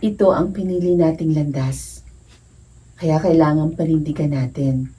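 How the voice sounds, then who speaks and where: Mellow, polite young Filipino novice nun played by a woman. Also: deep voice, unhurried. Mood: composed. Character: gentle young novice nun, polite and devout, reunited with old friend. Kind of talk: Filipino radio drama acting. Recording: distant mic, faint background noise.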